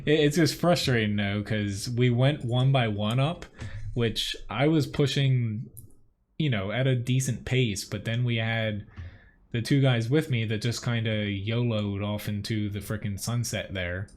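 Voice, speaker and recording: A man's voice: medium pitch, a little slow. A man with a Philadelphia accent giving casual live gameplay commentary as a streamer, informal and mildly exasperated in his grumbling. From Philadelphia area, United States. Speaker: game streamer.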